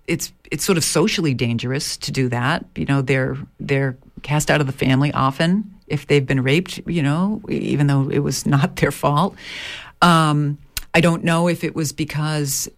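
Reflective woman author, lowish voice. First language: English. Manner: reflective, many restarts and fillers. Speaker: author and midwife. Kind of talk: interview conversation.

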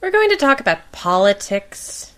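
A woman's voice: mid-range voice, sing-song, moderate pace, a bit bright. A woman co-hosting a podcast in casual banter, with a joking, sarcastic manner. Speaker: podcast co-host.

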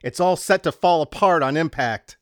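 A man's voice: fairly high pitch, moderate pace, dry recording, clean studio sound, pitched above the performer's own voice, lightly acted. A man narrating a story as a wry, teasing male prankster artist with a provoking smart-aleck manner. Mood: scheming.

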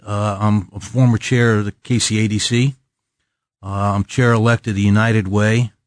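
A man's voice: fairly low pitch, no echo. A man in his sixties, a lawyer answering conversationally as an interview guest.

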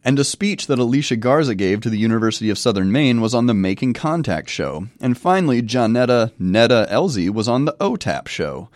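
A middle-aged man with a cold hosts a solo podcast, wry and self-deprecating. His pitch rises and falls a lot as he explains. The sound is close and dry, with no room around it. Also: sing-song, brisk, few pauses, a little mellow, no room sound. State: has a cold. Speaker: podcast host and professor. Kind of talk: solo review podcast monologue.

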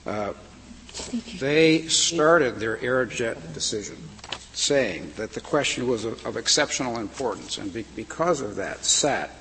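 A soft-voiced man arguing before a high court in a polite, deferential, measured way. The archival recording rings with the long reverb of a big courtroom, with a faint hum behind him. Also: expressive. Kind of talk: formal courtroom argument. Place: large courtroom.

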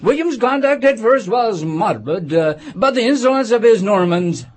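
A woman's voice: a little high, expressive, normal speed, a little mellow, pitched above the performer's own voice, natural voice. A woman voicing a pompous, fussy male mouse who lectures with self-importance in a storybook reading. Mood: pompous lecturing. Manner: throat-clearing, self-important, crossly polite lecturing.

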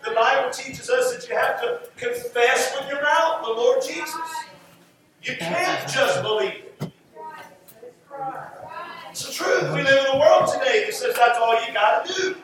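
A man delivers a sermon in a high-pitched voice that rings heavily around the room. He is picked up from a distance from the mic, with music in the recording. His preaching is emphatic, leaning on repeated phrases. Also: normal speed, a bit bright, slight background noise. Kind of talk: sermon with scripture teaching and prayer. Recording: roomy echoing hall, distant mic, music.

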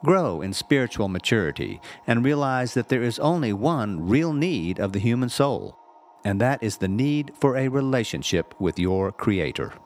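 Lively-voiced man reading a spiritual essay. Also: mid-range voice, moderate pace, slight background noise.

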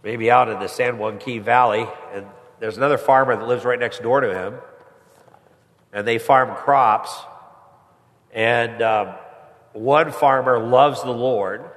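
A pastor preaching a sermon with a stuffy head cold, climbing higher and louder when he presses a point. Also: measured pace. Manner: rises in pitch and volume when pressing a point. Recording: long hall reverb.

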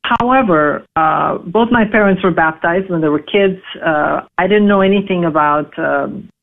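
A woman in her forties from Serbia, speaking English, telling her life story in an interview with halting, filler-heavy speech. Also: lowish voice, vocal fry. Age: 40s. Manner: halting, many fillers and restarts. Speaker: interview guest. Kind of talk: casual interview, personal story. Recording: tinny phone line.

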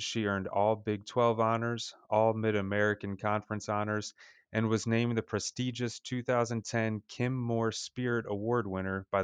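A man's voice: on the low side, smooth, no echo. A man hosting an interview podcast, addressing listeners and his guest.

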